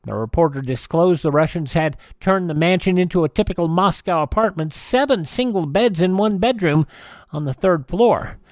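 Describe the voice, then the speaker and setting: A man's voice: high-pitched, expressive, normal speed, no echo. An American man reading scripted news stories as a radio show host.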